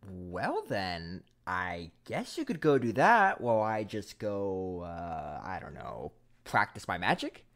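An actor playing a young, dutiful, easily flustered male unicorn squire, hesitant and expressive, in an animated series. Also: low voice, slow, hardly any pauses, full character voice. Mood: awkward, resigned. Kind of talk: animated fan series voice acting. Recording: music behind the voice.

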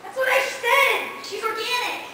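A woman's voice: extremely high pitch, moderate pace, pushed and bright. A woman playing a bubbly, childlike, cheeky little goat in a live comic radio play. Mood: playful. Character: perky little baby goat girl, cheeky and silly. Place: large convention meeting room, on stage. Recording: echoey, noisy convention hall from far off.

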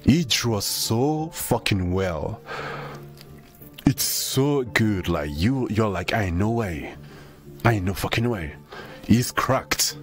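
A man's voice: fairly low pitch, expressive, slow pace, faint music behind. A young man from Senegal, raised in France, chatting casually with his stream viewers in a laid-back, slangy way, swearing freely. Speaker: VTuber streamer.

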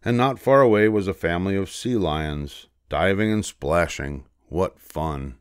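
Deep, slow older man narrating a storybook. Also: clear, resonant tone, no echo, clean studio sound. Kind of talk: scripted children's storybook narration.

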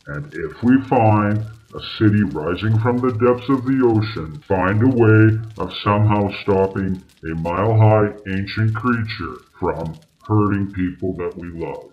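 A man playing a grave, exasperated, sceptical doctor with a formal, deliberate manner in an old-time radio drama. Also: on the low side, dull audio, natural voice.

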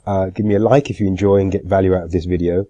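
A man's voice: deep voice, fairly even intonation, fast, mellow, dry recording, dull audio. A middle-aged man with a cold, giving a screen-share tutorial in a plain, matter-of-fact way with frequent "uh" fillers. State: has a cold, congested. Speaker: marketing YouTuber.

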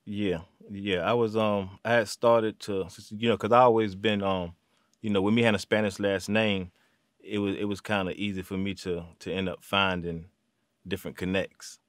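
A man, from Virginia, talking as an interview guest in a halting way full of "um"s and restarts. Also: lowish voice, little pitch movement, dry recording, clean studio sound. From Virginia, USA. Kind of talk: casual interview. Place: small studio room.

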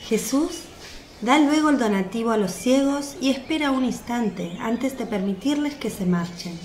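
A woman's voice: on the high side, expressive, normal speed, darkish tone. A woman reading scripted narration for a radio drama. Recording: roomy sound with faint noise. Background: birdsong, outdoor ambience.

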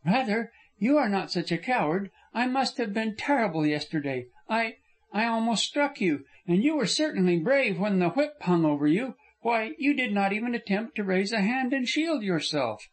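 A man's voice: high-pitched, lively intonation, normal speed, pitched above the performer's own voice. A man voicing a spirited, frank young woman who speaks earnestly and with passion. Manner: earnest, impassioned.

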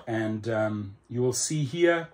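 A man's voice: mid-range voice, expressive. A middle-aged man with a South African accent, talking casually to camera in a room to promote his channel, upbeat and salesy with lots of ums. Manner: upbeat, salesy, with many ums and slow emphatic phrases. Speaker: YouTube channel host. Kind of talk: casual promotional vlog talk. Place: indoor room in front of a computer screen.

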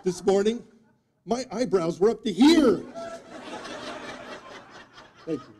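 Mellow, higher-pitched man telling a tall tale. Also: lively intonation, slow pace, slight background noise. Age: 50s. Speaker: speech contest speaker. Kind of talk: humorous tall-tale storytelling speech. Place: meeting room before a live audience, indoors. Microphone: distant audience camera microphone.

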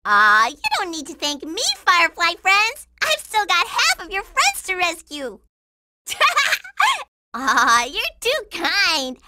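Squeaky, bubbly little cartoon girl. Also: very animated, a little slow, hardly any pauses, noisy background, exaggerated voice. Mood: sweet, cheerful. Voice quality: squeaky, childlike. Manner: singsong, gushing, playful. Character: cute, bubbly, sweet-natured little girl in a cartoon game. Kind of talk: cartoon video game voice acting.

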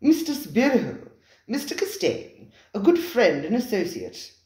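A reader voicing a worldly, suave man who speaks in a measured, knowing way. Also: medium pitch, wildly swooping pitch, warm tone, pitched above the performer's own voice. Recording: roomy echoing room.

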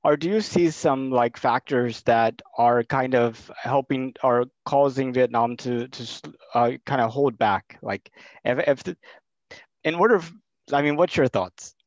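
A man's voice: mid-range voice, unhurried, dry recording. A man in his thirties from Texas, hosting a video call and asking long, rambling questions full of fillers. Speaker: host. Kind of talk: casual video call interview. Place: small room indoors. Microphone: headset. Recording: dull lo-fi call audio.

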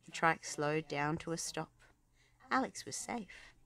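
Low-pitched woman reading a storybook. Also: animated, a little slow, creaky, fairly bright tone. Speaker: children's book narrator. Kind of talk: storybook read-aloud narration.

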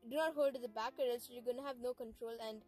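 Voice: medium pitch, steady tone, fast, darkish tone, soft background music, low-quality recording. A young-sounding female vlog host, explaining and instructing in a casual travel-vlog style.

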